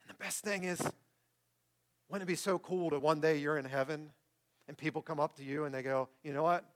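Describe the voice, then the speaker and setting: Higher-pitched male pastor preaching. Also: steady tone, a little fast. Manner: conversational, repeats phrases for emphasis. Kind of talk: church sermon with closing prayer and announcements. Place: speaking to a congregation in a room.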